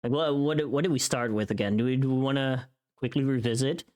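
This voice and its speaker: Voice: mid-range voice, fairly even intonation, a little fast, darkish tone, smooth. A streamer chatting casually and thinking aloud in a rambling way while working through tasks on a livestream. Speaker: streamer recording a voice pack.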